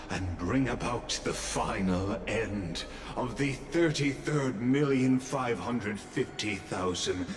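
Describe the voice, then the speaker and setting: Theatrical fantasy hero, sweeping intonation, declamatory, solemn, echoey, game voice. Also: male voice, lowish voice. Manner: grandiloquent, slow, declamatory. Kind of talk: scripted dramatic fantasy game acting. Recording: loud game music behind, echoey. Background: loud game music.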